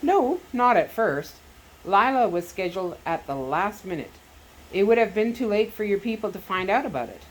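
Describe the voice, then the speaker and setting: A woman voicing a firm, steady male doctor and father, speaking in a measured, earnest way. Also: mid-range voice, voice pushed up, rough recording.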